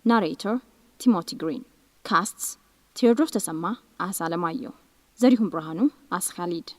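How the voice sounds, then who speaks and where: A woman's voice: lowish voice, moderate pace, darkish tone, no echo, in a large hall. A woman reading scripted radio drama credits as an announcer.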